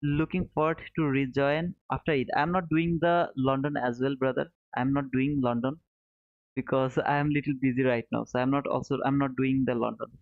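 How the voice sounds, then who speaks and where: A man's voice: medium pitch, steady tone, normal speed. A man hosts a live stream, casually answering viewers in short, repeated phrases with chummy, informal address. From Bangladesh. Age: 30s. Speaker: live trading stream host. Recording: narrow phone-like sound, roomy echo.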